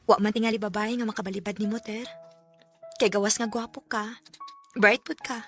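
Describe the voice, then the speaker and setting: A woman's voice: medium pitch, steady tone, brisk, a bit bright, close to an everyday voice. A woman playing a friendly, coy third-year student and admiring sweetheart in a Bisaya radio drama. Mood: coy, affectionate. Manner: coy, giggly. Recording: music under the dialogue.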